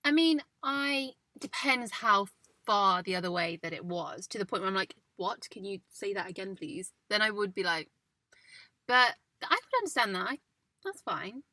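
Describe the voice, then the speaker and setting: A woman's voice: medium pitch, lively intonation, normal speed, pushed and bright, no echo, rough recording. A woman from England co-hosting a casual chat in a parked car, giggly and chatty. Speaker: podcast co-host.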